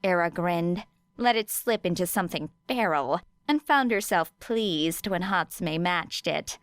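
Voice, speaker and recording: A woman's voice: lowish voice, expressive, unhurried, clear, resonant tone, no echo, clean studio sound. A woman reading scripted fiction as an audiobook narrator. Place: close, dry recording space.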